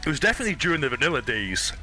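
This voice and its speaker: A man's voice: a little high, big pitch swings, rapid-fire, sharp tone, faint music behind, low-quality recording. A Scottish man streaming a game, chatting casually, swearing and laughing easily. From Scotland. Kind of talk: casual gaming stream chat.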